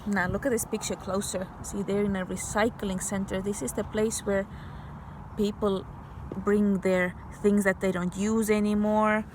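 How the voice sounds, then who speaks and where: A woman's voice: medium pitch, little pitch movement, normal speed, a little hiss or hum, rough recording. A woman teacher reading a picture book aloud to young children, patiently explaining things in simple words.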